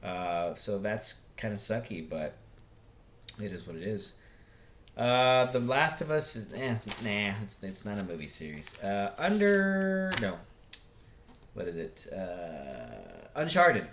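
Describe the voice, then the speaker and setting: A man's voice: on the low side, big pitch swings, slow, a bit bright, slight background noise. A man giving casual, unscripted opinions like a video blogger, blunt and rambling, often hesitating as he searches for words.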